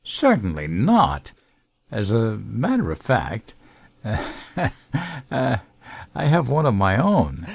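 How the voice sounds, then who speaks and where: A man's voice: on the low side, huge pitch swings, takes it slowly, gravelly, slightly rough, heavily acted. A man playing a bumbling, earnest American president in a comedic audio drama, with a hesitant, stammering delivery. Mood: awkward, sincere. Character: bumbling, childlike, earnest American president, teddy bear lover.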